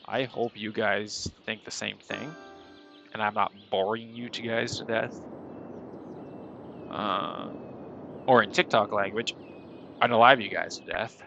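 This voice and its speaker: Male streamer, stuffy and nasal from a cold, gravelly tone, chatty rambling delivery, noticeable background noise. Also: on the low side, sharp tone. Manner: rambling, chatty, self-deprecating. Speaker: gaming streamer. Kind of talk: casual gameplay commentary.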